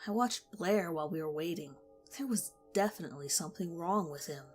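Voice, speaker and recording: A woman narrates a horror story in a measured, steady way. Her voice sits on the low side. Soft music plays faintly underneath. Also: breathy edge.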